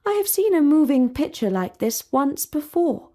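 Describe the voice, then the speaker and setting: A woman's voice: sits high, big pitch swings, moderate pace, dark tone, pitched above the performer's own voice. A woman voicing a polite young princess who seems to come from another age, speaking in a prim, formal way. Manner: prim, formal, old-fashioned.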